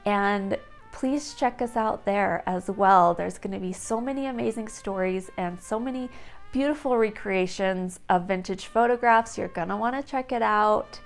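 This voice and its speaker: A woman's voice: mid-range voice, moderate pace, creaky. A young woman chatting casually while she does her makeup, telling stories in an easygoing, friendly way. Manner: chatty, warm storytelling with light laughs. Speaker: vintage lifestyle vlogger. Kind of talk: casual vlog chat while doing makeup.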